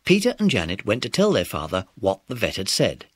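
A man's voice: on the low side, wildly swooping pitch, brisk, slightly rough, no echo, studio quality. A British man narrating a scripted children's story.

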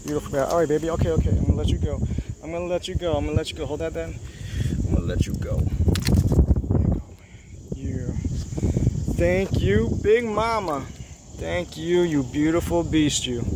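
A man's voice: on the high side, moderate pace, long pauses. Chatty man fishing from a boat. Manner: excited, chatty, talks to the camera. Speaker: fishing vlogger. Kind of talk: casual narration while fishing. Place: small boat on a lake outdoors. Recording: faint outdoor noise.

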